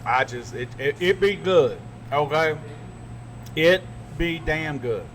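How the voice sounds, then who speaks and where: A man's voice: on the high side, lively intonation, slow pace, a crowd in the background. A man hosting a podcast, joking through a casual chat over food. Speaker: podcast host.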